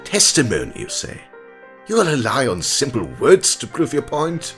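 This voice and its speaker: A man playing a pompous, impatient, scornful rat prosecutor in an audio drama, with a gravelly, theatrical delivery. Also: medium pitch, wildly swooping pitch, moderate pace, fairly bright tone, soft background music. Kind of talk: audio drama character acting.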